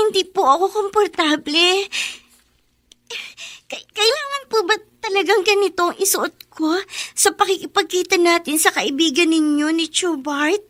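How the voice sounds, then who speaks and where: Very high, timid young student. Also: female voice, fairly bright tone, dry recording. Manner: hesitant, stammering, deferential. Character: naive, polite, timid young female student. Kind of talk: Filipino radio drama acting.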